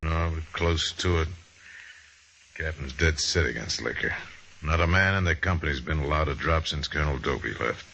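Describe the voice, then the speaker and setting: An actor playing a resigned but loyal cavalry soldier in an old-time radio western, with rustic, folksy speech. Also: male voice, very deep voice, normal speed, gravelly, sharp tone, a little hiss or hum, exaggerated voice. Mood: grimly informative. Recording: muffled vintage radio broadcast recording.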